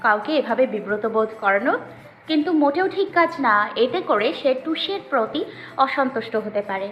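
A woman playing a kind, gently coaxing young wife in an audio story. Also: on the high side, expressive, brisk, close to an everyday voice. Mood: gentle, coaxing. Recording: slightly muffled, roomy, faint music.

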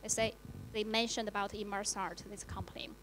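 A woman's voice: medium pitch, little pitch movement, fairly bright tone. Chinese-native woman on a panel, lots of uh's, handheld mic in a lecture hall, faint hum. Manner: halting, many fillers. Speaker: panelist, journalist. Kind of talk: panel discussion.

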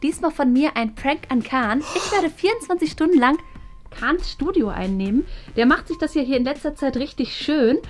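A woman's voice: high voice, expressive, moderate pace. A woman around her thirties talking casually as host of an online video. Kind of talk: casual vlog chat. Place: indoor stairwell and office rooms. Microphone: vlog camera at arm's length. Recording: echoey room, music behind. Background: music.

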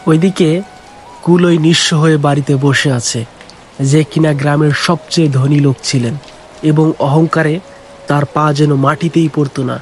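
A man narrating a Bengali village cartoon story in a plain, steady storytelling voice. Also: fairly high pitch, moderate pace, slight background noise. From Bangladesh.

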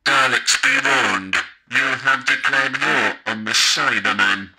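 A man voicing a cold, menacing robot soldier, slow and solemn, through an electronic voice changer and small speaker. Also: medium pitch, pushed and bright, breathy edge, full character voice, poor audio. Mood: cold menace. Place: home, tabletop speaker.

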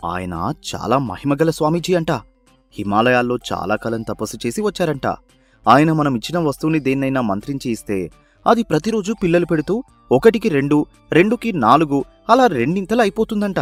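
A man's voice: fairly high pitch, big pitch swings, fairly quick, no echo, soft background music. A man voicing an earnest young son in a cartoon moral story, respectfully persuading his father. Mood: persuasive.

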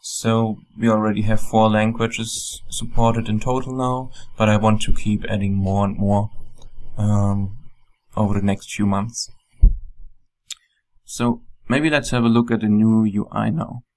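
A man with a German accent, a software developer, calmly walking through a program on a screen recording with frequent ums. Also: lowish voice, unhurried. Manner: calm, plain explaining with frequent ums.

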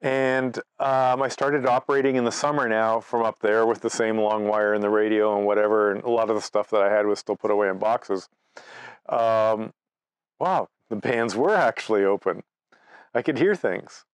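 A man's voice: fairly low pitch, steady tone, moderate pace, smooth. A man, giving an informal presentation as a conversational, anecdotal storyteller. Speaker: amateur radio presenter. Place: outdoors by trees at a cottage.

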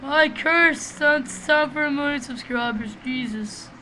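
A man with a small, high voice giving rambling, profane, excitable commentary while playing a game, recorded on a webcam microphone. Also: unhurried. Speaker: gamer doing a let's play. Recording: noisy home recording.

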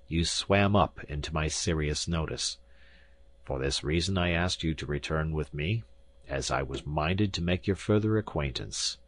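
A man voicing an arrogant, imperious professor in an audiobook, pompous and lecturing as he talks down to his listener. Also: very deep voice. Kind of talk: audiobook character acting.

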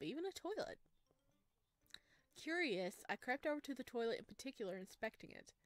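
A woman streaming a visual novel, reading narration aloud and reacting in an expressive, gushing way. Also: fairly high pitch, no room sound. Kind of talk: game playthrough with read-aloud narration and excited reactions.

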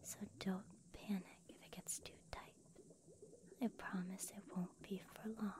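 Woman acting young female healer, anime ASMR roleplay, soft whisper, very slow pace, gentle, soothing. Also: mid-range voice, flat delivery, natural voice. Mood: soothing, attentive.

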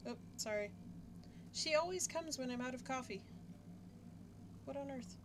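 A woman's voice: high voice, lively intonation, unhurried. Young woman gaming youtuber, rambly and jokey, lots of background noise. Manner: chatty, self-mocking, thinks aloud. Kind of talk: casual gameplay commentary. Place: home setup, webcam in corner.

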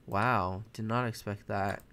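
A young American man, a native Mandarin speaker, casually commenting on his own chess game from his bedroom, chatty and quick to correct himself. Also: on the low side, fairly even intonation, sharp tone, a little hiss or hum. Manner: thinks aloud, chatty, self-correcting. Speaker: chess streamer. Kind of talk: casual live commentary while playing. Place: bedroom at home, indoors.

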